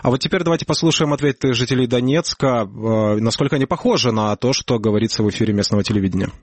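A man narrating a news report in a measured voice, with an occasional hesitation between phrases. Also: medium pitch, noisy background. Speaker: news correspondent.

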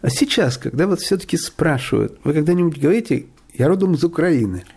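Husky, gravelly radio interviewer man. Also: a little high, lively intonation, darkish tone. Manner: measured, formal phrasing. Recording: radio broadcast with faint music.

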